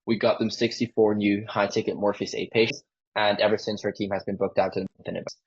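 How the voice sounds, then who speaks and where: Man, sales closer. Low, husky voice, informal pitch style, muffled call recording. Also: level tone, fast. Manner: informal, peppered with "like". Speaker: sales closer for a marketing agency. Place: online sales call with screen sharing. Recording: dull lo-fi call audio.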